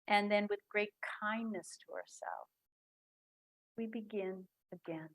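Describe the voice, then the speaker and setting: A woman leads a guided breathing meditation, speaking very slowly and softly with long pauses between phrases. Her voice sits at a middle pitch and stays calm throughout.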